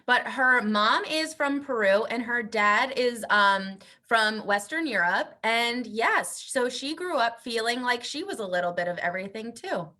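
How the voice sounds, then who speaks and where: A woman's voice: on the high side, animated, a little slow, hardly any pauses, pushed and bright. A woman teaching bilingually at an interactive children's story time, upbeat and encouraging.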